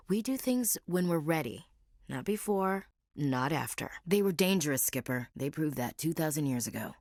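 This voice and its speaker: A woman's voice: lowish voice, no room sound, lightly acted. Woman playing a blunt young Marine gunnery chief. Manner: blunt, dry, wry military talk. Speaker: soldier. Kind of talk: video game voice acting. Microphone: close studio mic.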